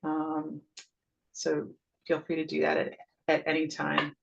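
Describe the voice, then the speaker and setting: Woman host for a poetry reading on a video call, lowish gravelly voice, welcoming with lots of ums. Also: completely flat delivery, unhurried, dull audio, background noise. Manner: warm, welcoming, with frequent ums. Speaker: host and town poet laureate. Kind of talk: event introduction over video call.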